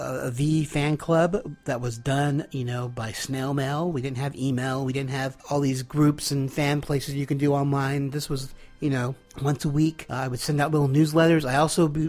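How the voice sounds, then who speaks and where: A congested man in his thirties sends in a casual audio comment, mid-pitched and eager. He talks with nostalgic enthusiasm, stopping often for fillers. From California. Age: 30s. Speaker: caller leaving an audio comment. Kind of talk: casual recorded message.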